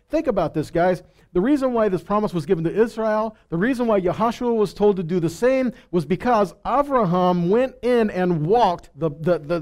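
Animated man preaching with a dark tone. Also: high voice. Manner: animated, gesturing while preaching, reads scripture aloud. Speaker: pastor. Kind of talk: sermon teaching. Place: small stage with purple lights, indoors. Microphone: headset mic.

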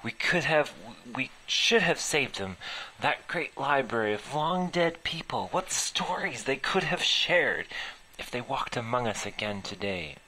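Male reader as impatient, bossy expedition woman, storybook drama, bright forceful edge, exasperated. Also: medium pitch, very animated, measured pace, exaggerated voice, low-quality recording. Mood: mournful, urgent.

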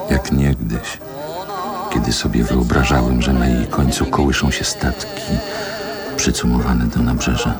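Very deep-voiced, reflective man reminiscing. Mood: wistful, reflective. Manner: measured, deliberate, poetic phrasing with pauses. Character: reflective man recalling his Warsaw childhood, wistful and lyrical. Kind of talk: radio drama monologue, literary narration.

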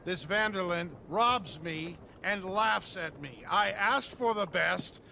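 An actor playing a cold, arrogant wealthy industrialist who speaks in a clipped, imperious way. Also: male voice, high voice, slow pace, vocal fry, sharp tone, a little hiss or hum, exaggerated voice. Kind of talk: video game cutscene acting.

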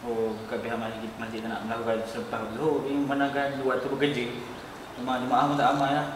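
A young man playing a mosque caretaker in a student film, giving quick, helpful explanations outdoors at a mosque. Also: mid-range voice, little pitch movement, noisy background. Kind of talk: amateur scripted acting.

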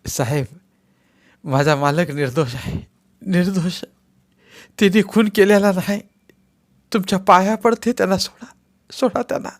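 A man's voice: high-pitched, measured pace, pauses often, voice pushed up. A man voicing a tearful village wife who begs for her husband's life, in a sobbing, pleading way. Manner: begging, sobbing.

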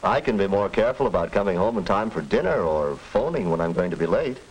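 An actor playing an agreeable, reasonable middle-aged American father in a 1940s educational film, with deliberate, formal phrasing. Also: deep voice, lively intonation, slightly rough, low-quality recording. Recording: hissy 1940s film soundtrack.

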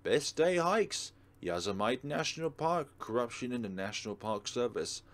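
A man's voice: medium pitch, sing-song, normal speed. A man hosting a let's play, reading a visual novel aloud expressively and adding casual commentary. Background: soft game music.

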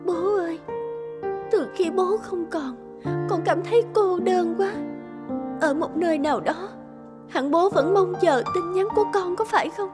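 A woman voicing a grieving orphaned young woman in an animated drama, speaking softly and tearfully. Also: very high-pitched, unhurried, long pauses. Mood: tender. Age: young adult. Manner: soft and tearful. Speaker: voice actor. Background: background music.

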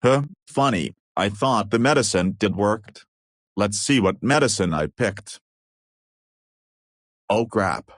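Man as low-voiced, earnest cartoon hero. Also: animated, slow, long pauses, no echo, studio quality. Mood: puzzled. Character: helpful, slightly bumbling cartoon dog superhero.

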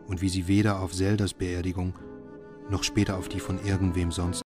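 A man acting a brooding husband and father who tells his story in a radio drama, grave and measured. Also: deep voice, level tone, music in the background, close to an everyday voice. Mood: grave, foreboding. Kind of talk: radio drama narration and dialogue.

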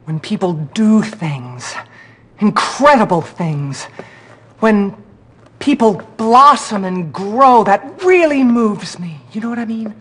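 An actress playing a lovestruck, flirtatious art teacher in a video game, with a breezy, affected, theatrical manner. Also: female voice, mid-range voice, animated, slow pace, fairly bright tone, husky, exaggerated voice. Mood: dreamy. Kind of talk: video game cutscene acting.

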